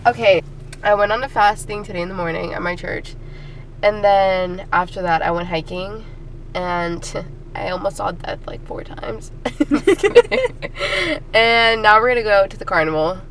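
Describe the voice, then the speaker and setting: A woman's voice: mid-range voice, expressive, moderate pace, fairly bright tone. A teenage girl from El Salvador chatting casually with a friend outdoors, joking and rambling with lots of fillers. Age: teens. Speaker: friend of the vlogger. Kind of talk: casual chat. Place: outdoors at a carnival and town center. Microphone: vlogger's handheld camera. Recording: outdoor camera audio with crowd and noise.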